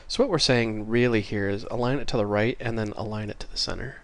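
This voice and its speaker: Low-voiced, slightly stuffy man teaching casually. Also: fairly even intonation, fast talker. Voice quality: slightly congested, stuffy nose. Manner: informal, apologetic, thinking aloud. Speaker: programming tutorial host. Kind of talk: casual screencast tutorial. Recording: clear keyboard and mouse clicking noise. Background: typing and mouse clicks.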